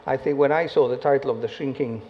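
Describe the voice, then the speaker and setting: A man on a conference panel giving a deliberate, matter-of-fact answer. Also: mid-range voice, steady tone. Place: conference hall. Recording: muffled audio in a reverberant hall, faint noise.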